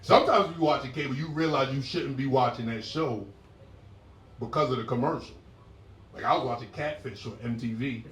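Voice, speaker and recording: A man in his forties doing a stand-up set, telling stories in a laid-back way and breaking into loud shouted impressions. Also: mid-range voice, a little fast, slight background noise. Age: 40s. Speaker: stand-up comedian. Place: comedy club stage. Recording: roomy club sound from a distance.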